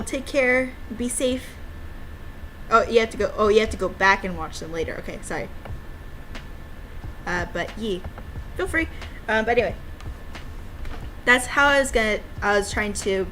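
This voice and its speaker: A woman's voice: mid-range voice, moderate pace, pauses often, fairly bright tone. Giggly woman streamer with noisy background. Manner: giggly, enthusiastic, rambling. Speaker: game developer streaming her own game. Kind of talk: casual livestream chat mixed with reading game dialogue aloud.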